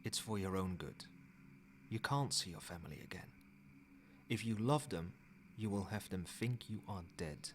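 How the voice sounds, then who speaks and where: A man playing a possessive, controlling old vampire in a soft-spoken, measured ASMR roleplay. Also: low-pitched, a little hiss or hum, natural voice. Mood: stern, insistent. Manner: measured, formal, commanding.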